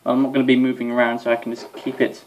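Young male vlogger, tired rambling chat, mid-pitched, faintly noisy phone recording in a bedroom. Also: monotone, very fast, a little mellow, highs cut off. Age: young adult. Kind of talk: casual toy demonstration to camera. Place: small bedroom. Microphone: phone or camera at arm's length. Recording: faint noise, clicks, mobile recording.

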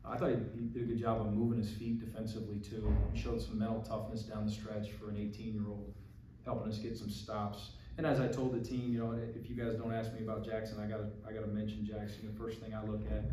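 A middle-aged man, a basketball coach answering postgame press questions, thoughtful and halting with many restarts, speaking into a podium mic. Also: fairly low pitch, noisy background. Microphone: podium mic on a stand. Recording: echoey press room from a far camera.

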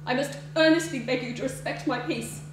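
A woman's voice: high voice, a little fast, lightly acted. A woman acting a haughty, reclusive lady in mourning, formal and primly indignant, in a theatrical stage comedy style. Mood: aloof, pained. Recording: echoey room, distant mic, noisy hum.

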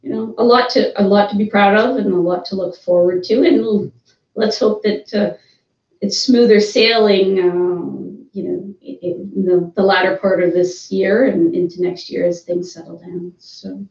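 A woman's voice: medium pitch, steady tone, a little slow, a little mellow. A woman representing a company talks over a video call in an upbeat way, with lots of fillers and restarts. She sits away from the mic in an echoey office. The sound comes across dull. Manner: upbeat, hesitant with many fillers. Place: office room, seated with a colleague. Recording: roomy, distant, dull video call audio.

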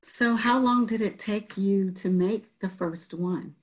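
A woman interviewing a guest on a video call, asking measured, curious questions. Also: mid-range voice.

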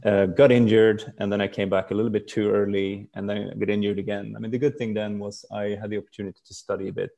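Low-voiced man, reflective podcast guest. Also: flat delivery, quick pace, gravelly, few pauses, mellow. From Stockholm, Sweden. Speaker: podcast guest, brand executive. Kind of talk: casual interview talk.

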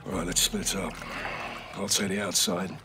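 A man's voice: sits low, steady tone, moderate pace, noisy background, lightly acted. A middle-aged man with a British accent playing a terse, unflappable special forces captain in a game. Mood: quiet, focused. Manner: terse, clipped military talk. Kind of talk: cinematic game acting.

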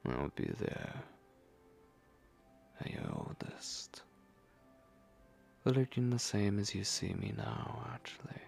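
Male actor as commanding vampire lord, ASMR roleplay, heavy vocal fry, widely swooping pitch, breathy, condescending, possessive. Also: full character voice. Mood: haughty. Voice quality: breathy, gravelly whispering. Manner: slow, menacing, condescending endearments. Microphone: close microphone.

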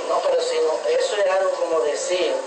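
A man's voice: on the high side, fairly even intonation, fairly quick, loud music behind the voice. A middle-aged man from the Dominican Republic with a Dominican Spanish accent, earnestly explaining as an interview guest, often restarting and repeating words. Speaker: interview guest, civic educator. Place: indoor TV set at a table. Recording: echoey, distant, noisy local TV audio.

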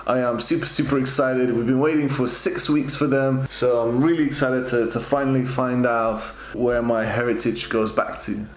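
A man in his twenties chatting casually and excitedly to camera, often chuckling as he talks. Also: medium pitch, moderate pace, few pauses, slight background noise. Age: 20s. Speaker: participant in a DNA test video. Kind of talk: casual reaction talk to camera. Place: small bare room, indoors, at a desk. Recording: roomy echo, faint background music.

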